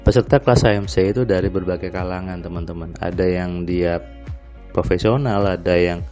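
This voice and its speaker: A man recording a relaxed spoken diary on his home terrace at night, his voice a little nasal and stuffy, rambling with frequent fillers. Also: low voice, brisk, faint music behind. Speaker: motivational audio diarist. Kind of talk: casual spoken diary monologue.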